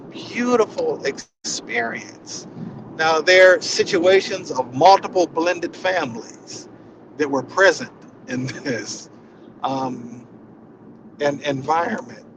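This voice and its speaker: A man giving an informal inspirational talk in a reflective, halting way, often repeating his phrases. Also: on the high side, noisy background. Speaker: spiritual session leader.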